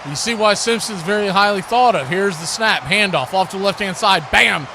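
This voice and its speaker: A man doing excited live play-by-play commentary of a football game. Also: sits high, normal speed, noisy background.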